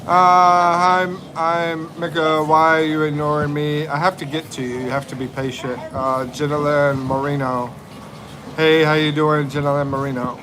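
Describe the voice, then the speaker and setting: A man's voice: on the high side, flat delivery, normal speed. A man in his fifties hosting a casual livestream, chatting and reading viewer comments aloud, hedging often with "like" and "I think". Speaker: livestream host. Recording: loud traffic noise behind.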